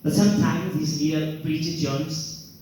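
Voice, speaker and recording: A man's voice: on the high side, fairly even intonation, measured pace, dark tone. A young man from Spain with a Spanish accent, performing a playful, self-mocking stand-up routine on a comedy club stage. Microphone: handheld stage mic. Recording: very echoey room, distant mic, faint noise.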